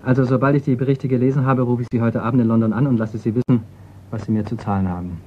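A man's voice: mid-range voice, fairly even intonation, fast talker, mellow, close to an everyday voice. An actor in a radio crime drama playing a wry, unflappable male insurance investigator, dry and casual in manner. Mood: assured, businesslike. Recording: dull, noisy radio play recording.

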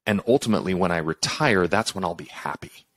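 A middle-aged podcast host with a very deep voice talks in an upbeat, coaching way and acts out the thoughts of his listeners. His sound is dry and close, as if speaking into a video call at home. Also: brisk, a bit bright, dry recording. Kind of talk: conversational interview hosting. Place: room at home, indoors.

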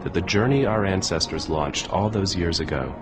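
A middle-aged American man presenting a documentary in an earnest, measured way, speaking to camera in a studio. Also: low-pitched, expressive. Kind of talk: scripted documentary narration. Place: photo studio, indoors. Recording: music bed underneath.

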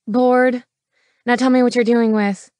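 A woman's voice: fairly high pitch, flat delivery, measured pace, a little mellow, smooth, no room sound, clean studio sound, pitched above the performer's own voice, close to an everyday voice. A woman voicing a feisty, impatient woman in an audiobook, with a sarcastic, snappy way of talking. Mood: sarcastic, bored. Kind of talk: audiobook character dialogue.

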